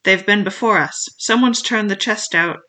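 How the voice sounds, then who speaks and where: A woman voicing rough male pirate henchmen in a storybook, calling out reports in rustic sailor speech. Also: medium pitch, steady tone, moderate pace, sharp tone, natural voice. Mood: urgent.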